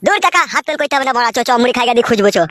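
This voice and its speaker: A man's voice: very high voice, animated, very fast, a bit bright, slight background noise, voice pushed down. A man playing an easygoing young village nephew and sidekick in a comedy cartoon. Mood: practical.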